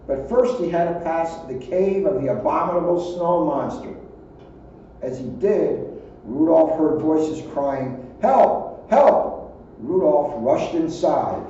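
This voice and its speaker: Mellow man reading a storybook, very echoey. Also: on the high side. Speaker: police officer reading a children's story. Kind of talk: expressive storybook read-aloud. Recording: echoey, distant, muffled, faint noise.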